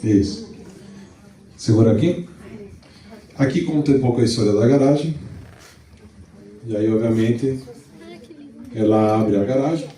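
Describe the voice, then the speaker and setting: A middle-aged man from Venezuela, hosting a launch event in a relaxed, chatty way with restarts, speaking into a handheld mic in a hall. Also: medium pitch, a little slow, long pauses, far from the mic. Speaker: event host. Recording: echoey hall, mic some way off.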